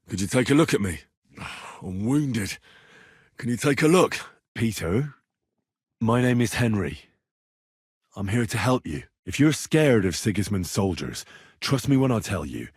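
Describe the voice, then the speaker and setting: A man's voice: fairly low pitch, a little mellow, breathy edge, no room sound, studio quality. An actor playing an earnest, reassuring young medieval man tending the sick in a video game, speaking plainly and practically. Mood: weary, pleading. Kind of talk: video game character dialogue.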